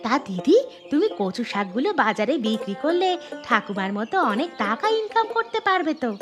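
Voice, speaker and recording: An eager village neighbour woman from a cartoon tale , acted by a woman, very high in pitch and quick. She is chatty and flattering, in a friendly village way. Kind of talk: Bengali moral-story cartoon dialogue. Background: background music.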